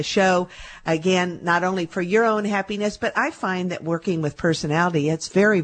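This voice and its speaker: Chatty middle-aged woman radio host. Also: on the low side. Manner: chatty, repeats herself with fillers. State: recovering from laryngitis. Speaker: radio show host and medical intuitive. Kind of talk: casual radio monologue.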